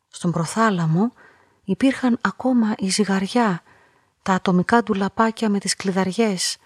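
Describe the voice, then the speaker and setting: An actress plays a solitary young woman narrating a radio play. Her medium-pitched voice is husky and measured, pensive in tone. The sound is very dry. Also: dry recording, lightly acted. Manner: reflective, measured. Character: reflective solitary young woman recounting her own strange story. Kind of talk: literary first-person dramatic narration.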